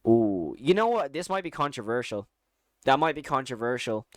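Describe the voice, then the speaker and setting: A man's voice: mid-range voice, sing-song, moderate pace, no echo, studio quality. A man giving casual, opinionated commentary as a video host, talking in an informal, slangy and enthusiastic way. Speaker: YouTube host ranking horror series.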